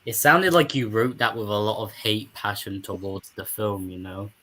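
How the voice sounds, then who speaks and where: A man's voice: on the low side, normal speed, sharp tone. A man with an English accent, chatting casually as a guest on a livestream. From England. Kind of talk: casual chat.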